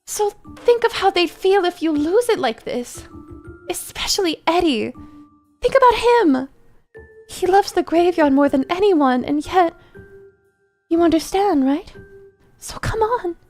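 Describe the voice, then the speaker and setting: A woman's voice: extremely high pitch, animated, unhurried, long pauses, a little mellow, faint music behind. A woman playing an anxious mother in a drama, coaxing and fussing over her troubled eldest son with pleading insistence. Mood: pleading, insistent. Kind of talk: dramatic character acting.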